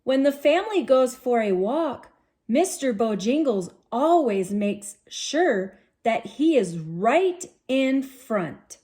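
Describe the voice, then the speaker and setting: Female children's storybook reader. High voice, unhurried delivery, expressive, sing-song touches. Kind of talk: read-aloud storytelling for young children.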